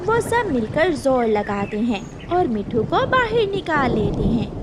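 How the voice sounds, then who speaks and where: A woman's voice: high-pitched, sing-song, unhurried. A woman narrating a scripted children's story as its storyteller. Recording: clearly noisy background.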